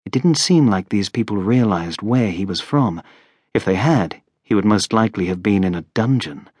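A man's voice: lowish voice, expressive, moderate pace, a little mellow, slightly rough, no room sound, clean studio sound. A man reading scripted fantasy narration as an audiobook narrator.

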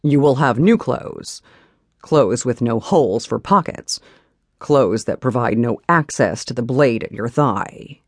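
A woman's voice: a bass voice, animated, a little slow, dry recording, studio quality, exaggerated voice. A woman voicing a stern, massive warrior husband who growls curt commands. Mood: growling.

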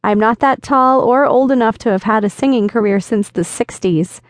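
A woman's voice: a little high, normal speed, no room sound, clean studio sound, voice pushed up, lightly acted. A woman narrator voicing a curious, flustered young woman in an audiobook, with an eager, slightly nervous delivery. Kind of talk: audiobook dialogue reading.

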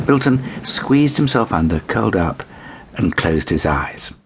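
A man narrating a children's bedtime story in an expressive storytelling style. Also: low-pitched, measured pace, slight background noise. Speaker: audiobook narrator.

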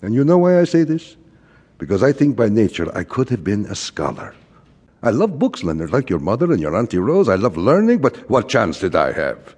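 Wistful rambling father, very animated. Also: fairly low pitch, a little fast, husky, full character voice. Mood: wistful, lamenting. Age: middle-aged. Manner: rhetorical, repetitive, philosophizing. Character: wistful, rambling immigrant father, a frustrated commercial traveler. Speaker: actor in a stage-style audio drama. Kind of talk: theatrical audio drama acting. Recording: reverberant hall stage recording.

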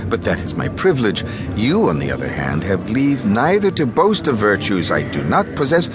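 An actor playing a languid, haughty young aristocrat in his twenties in an audio drama, condescending, fastidious and world-weary. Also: on the low side, huge pitch swings, over music. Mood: cool warning. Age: 20s. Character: languid, haughty young aristocratic caballero, foppish and easily bored.

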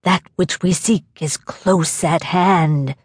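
A woman voicing a pompous, excitable male dowser with wordy, formal diction in an audiobook story. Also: lowish voice, slow pace, breathy edge, no echo, studio quality. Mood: excited whisper.

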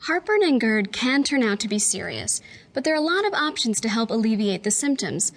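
Higher-voiced woman giving health tips. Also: a little fast. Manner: clear, steady instructional delivery. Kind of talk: scripted health advice.

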